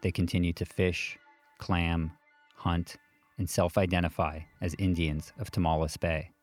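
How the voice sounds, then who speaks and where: A man with a low, deep voice narrates a history documentary. His delivery is measured and formal, clearly read from a script, with faint music underneath. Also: level tone, darkish tone.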